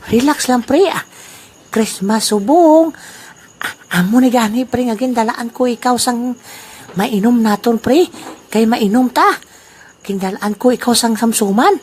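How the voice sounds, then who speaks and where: A woman's voice: fairly high pitch, measured pace, long pauses, darkish tone, slight background noise, natural voice. A woman voicing a scheming old man, a drinking buddy who chuckles and laughs often, in an amateur radio play. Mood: friendly, sly. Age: over 60.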